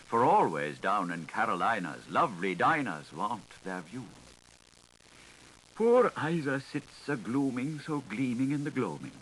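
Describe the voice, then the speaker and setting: A man's voice: medium pitch, fairly bright tone, low-quality recording. A man narrating a literary audiobook in a theatrical, lilting, singsong manner. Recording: hissy 1980s audiobook recording.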